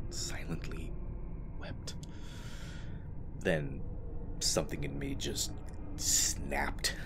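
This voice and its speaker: A man playing a battle-scarred, haunted soldier in an audio drama, telling his story in a halting, intense, often breathy monologue. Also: a bass voice, very noisy. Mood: grieving, subdued, then cold, grim. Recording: loud music under the voice. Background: loud music.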